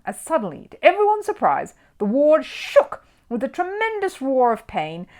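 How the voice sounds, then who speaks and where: A woman storyteller narrating a children's book in an expressive audiobook style. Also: on the high side.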